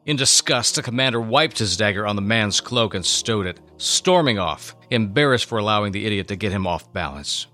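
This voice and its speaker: A man's voice: lowish voice, expressive, brisk, hardly any pauses, sharp tone, soft background music. A man giving a solemn, theatrical scripted reading of a Bible story as narrator. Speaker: narrator and co-host.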